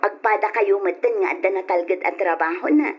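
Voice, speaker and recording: A woman's voice: mid-range voice, a little fast, husky, natural voice. A woman playing a chatty, teasing in-law confidante in an Ilocano radio drama, with an Ilocano accent and an exclaiming, gossipy manner. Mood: teasing, excited. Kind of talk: old-style Ilocano radio drama acting. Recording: muffled, noisy radio drama recording.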